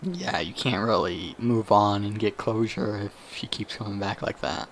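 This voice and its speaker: Very low, creaky-voiced woman commentating. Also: normal speed, slight background noise. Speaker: gameplay streamer. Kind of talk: casual off-the-cuff game commentary. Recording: game music behind the voice.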